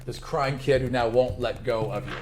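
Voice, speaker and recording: A man's voice: medium pitch, steady tone, moderate pace, a little mellow, faint music behind. A man working as game master, improvising narration for a tabletop fantasy game.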